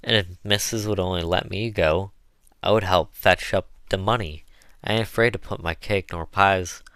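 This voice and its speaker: A man's voice: deep voice, moderate pace, fairly bright tone, clean tone, no echo. Man voicing an eager, folksy aunt and cook. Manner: folksy dialect with mispronounced words. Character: eager, good-humored aunt, enslaved cook with folksy dialect. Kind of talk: audiobook character voice.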